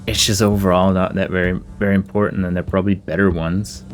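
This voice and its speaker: A man's voice: low voice, little pitch movement, fast talker. A man giving casual game commentary, deliberating aloud and talking to himself and to the characters as he plays. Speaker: gaming video host. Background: game music behind the voice.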